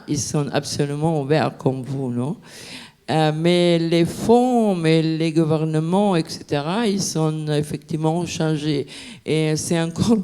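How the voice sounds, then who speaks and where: Male producer on a conference panel, halting delivery, resonant and mellow. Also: on the high side, clean tone. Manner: halting, searching for words, many fillers. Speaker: film producer. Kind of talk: panel discussion. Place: conference hall.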